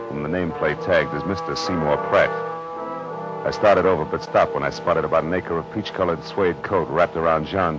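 A man's voice: low-pitched, natural voice. A man playing a tough, wisecracking private detective in 1940s radio style, wry, blunt and slangy. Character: hard-boiled male private eye, tough and wisecracking. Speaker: lead actor and narrator of a detective series. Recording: dull vintage radio recording with music.

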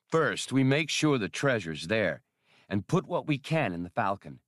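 A man's voice: lowish voice, big pitch swings, moderate pace, no room sound, clean studio sound. A man voicing a cocky, pragmatic starship pilot and smuggler with a blunt, confident way of talking, in an audiobook. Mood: confident, wry.

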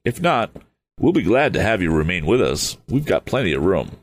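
A man voicing a cautious older inventor who is a father, speaking in a measured, deliberate way. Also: low voice, sing-song, a little hiss or hum. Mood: calm.

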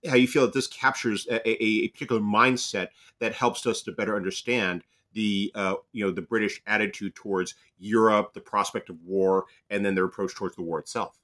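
Male interviewer, fairly low voice, stumbling but curious questions. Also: steady tone, a bit bright. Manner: halting questions, repeats words. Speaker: podcast host. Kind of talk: podcast interview.

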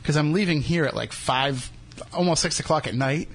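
A man's voice: a little high, normal speed, slight background noise. A man with a Boston accent hosting a radio talk show, bantering casually and poking fun at himself. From New England. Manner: joking, self-deprecating.

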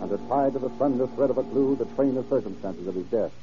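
Man as brisk, solemn radio crime narrator. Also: mid-range voice, warm tone, faint music behind, close to an everyday voice. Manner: formal, dramatic. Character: solemn male narrator of a true crime story. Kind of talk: old-time radio scripted narration. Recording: muffled vintage radio recording.

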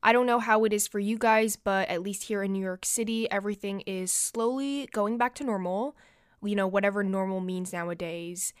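A young woman talks through her solo podcast in a chatty, confiding way, full of little fillers. Her nose is slightly stuffed up, yet the voice stays smooth and clear. The recording is close and dry, with no room sound. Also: medium pitch, fairly even intonation, normal speed, no room sound. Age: young adult. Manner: chatty and confiding, many "like" and "you know" fillers. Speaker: podcast host. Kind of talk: casual solo podcast chat.

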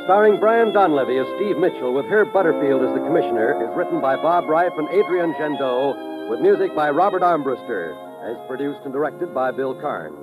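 A man's voice: fairly high pitch, animated, brisk, poor audio. A man announcing credits in a formal vintage radio style. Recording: old radio recording over loud orchestral music.

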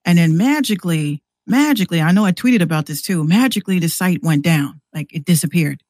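A woman's voice: on the low side, expressive, darkish tone, no room sound. Woman chatting casually in a dry recording. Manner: slangy, halting delivery with repeated words. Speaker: podcast guest. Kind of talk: casual chat, storytelling.

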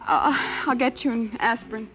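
A woman's voice: a little high, fairly even intonation, moderate pace, lightly acted, low-quality recording. A woman playing a frightened young wife in hiding, anxious and pleading, in old-time radio drama style. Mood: panicked. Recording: vintage radio recording with music.

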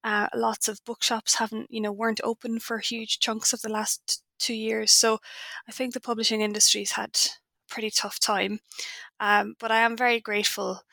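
Irish woman author, young adult, bright tone, hesitant and reflective, dry close recording. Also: fairly high pitch, flat delivery, normal speed, creaky, dry recording. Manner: thoughtful, hesitant with frequent ums. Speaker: author guest. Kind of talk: podcast interview.

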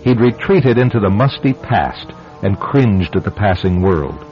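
Low-voiced, dramatic male radio narrator. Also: lively intonation. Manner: dramatic, deliberate storytelling. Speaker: host and narrator. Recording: muffled old radio broadcast, faint music.

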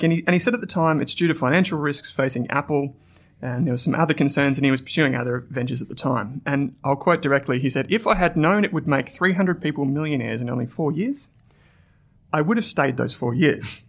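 An Australian man hosting an investing podcast, reading an ad and then telling a story to his guest in a chatty way with fillers. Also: mid-range voice. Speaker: podcast host. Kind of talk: podcast interview with read ad.

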